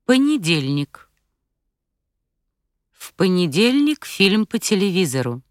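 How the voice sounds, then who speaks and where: A woman reading Russian practice phrases for learners with careful, clearly articulated delivery. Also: lowish voice, very animated, takes it slowly, dry recording, clean studio sound. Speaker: language course speaker.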